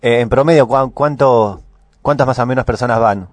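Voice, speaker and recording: A man's voice: mid-range voice, moderate pace, dry recording. A man with an Argentine accent co-hosting a radio show in a casual, conversational live style. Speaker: radio co-host. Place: radio studio.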